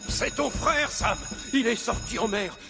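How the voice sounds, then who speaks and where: A man's voice: very high voice, lively intonation, measured pace, gravelly, over music, full character voice. A man voicing a concerned fire station colleague raising the alarm in a French cartoon dub. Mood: urgent.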